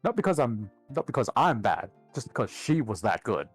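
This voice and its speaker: A man's voice: medium pitch, very animated, brisk, slightly rough, soft background music. A man with an Australian accent, chatting casually as a guest on a group livestream.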